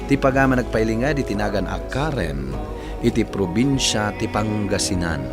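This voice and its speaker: A man's voice: on the low side, lively intonation, normal speed. A man with an Ilocano accent presenting a radio drama programme as its host. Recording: loud music bed under voice.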